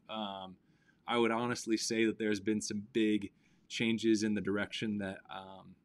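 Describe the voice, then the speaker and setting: A man with a low voice hosts a relaxed live-stream interview. He talks casually and hesitantly, with plenty of ums and restarts. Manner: relaxed, hesitant with many fillers. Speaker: host of a weekly live show.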